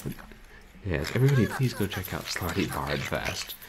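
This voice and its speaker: Low-voiced man with very animated pitch. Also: normal speed, a crowd in the background. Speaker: game streamer, host. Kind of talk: casual livestream commentary. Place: small room indoors. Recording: loud noise behind the voice.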